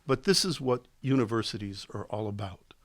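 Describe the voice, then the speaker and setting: Older man, low gravelly dry voice. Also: expressive, no echo. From Israel. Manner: deliberate, slow on key words, with ums. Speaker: guest professor. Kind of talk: measured interview answers. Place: studio. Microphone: studio microphone.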